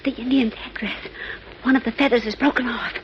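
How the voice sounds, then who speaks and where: An actress playing a bold, brisk, no-nonsense young woman in an old-time radio drama, bossy and confident. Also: fairly high pitch, fairly even intonation, lightly acted. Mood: startled, curious. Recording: dull, noisy old radio recording.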